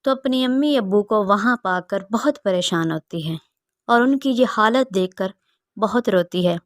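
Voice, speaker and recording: A woman's voice: medium pitch, a bit bright, no echo, studio quality. A woman narrating a scripted story as its storyteller.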